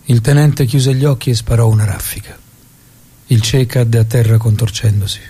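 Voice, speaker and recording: An actor playing a solemn storyteller, giving scripted dramatic narration in a grave, measured way. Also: male voice, medium pitch, dark tone, slight background noise, lightly acted. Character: solemn male storyteller recounting a revolutionary's death.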